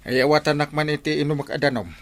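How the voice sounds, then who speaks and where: A man's voice: on the high side, fairly even intonation, fast, a bit bright, dry recording. An actor with an Ilocano Filipino accent playing an impatient, reluctant village man in a radio comedy drama.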